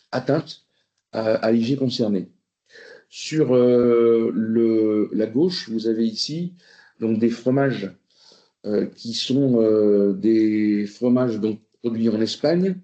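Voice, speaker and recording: A man's voice: on the low side, warm tone. A man giving a spoken presentation to a committee, hesitant, with many fillers and restarts. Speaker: presenter on food quality labels. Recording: roomy, muffled recording.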